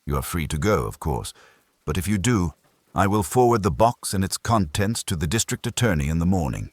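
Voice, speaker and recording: A man acts out an imperious older detective for a mystery audiobook. The voice is extremely deep and husky, with formal, pompous phrasing. He is measured and stern, with emphatic swings in pitch. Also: sing-song, no echo. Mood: imperious, cold.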